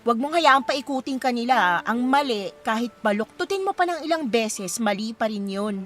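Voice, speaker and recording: An actress playing a caring, supportive young friend in a Filipino radio drama, expressive and sympathetic, with a Filipino accent. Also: female voice, on the high side, normal speed, natural voice. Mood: comforting. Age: young adult. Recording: music playing behind the voice.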